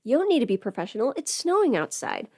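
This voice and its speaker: A woman's voice: a little high, huge pitch swings, fast, darkish tone, a little hiss or hum. A woman livestreaming in casual, rambling chat as she does her makeup, informal and mildly sweary. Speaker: livestream host.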